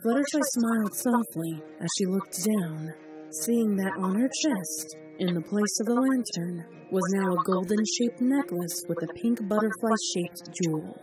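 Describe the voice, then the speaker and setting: A woman narrating a fanfiction story in a measured, dramatic way. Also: medium pitch. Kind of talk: audiobook fanfic reading. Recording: distant voice over loud music.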